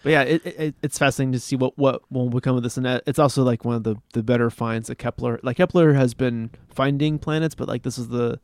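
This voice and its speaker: A man's voice: mid-range voice, steady tone, fast, few pauses, no room sound. Male, casual podcast co-host; enthusiastic, rambling, many restarts; dry recording. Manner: rambling, restarts often, enthusiastic about space.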